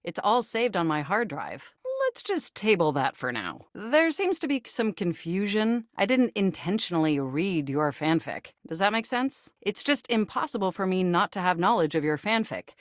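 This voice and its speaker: A woman's voice: mid-range voice, very animated, moderate pace, hardly any pauses, no echo, clean studio sound, lightly acted. A woman voicing a personal computer in a video game, polite, literal and affectionate, with formal, precise, wordy phrasing. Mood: earnest, cautionary. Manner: formal, precise, verbose wording. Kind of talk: video game character voice acting.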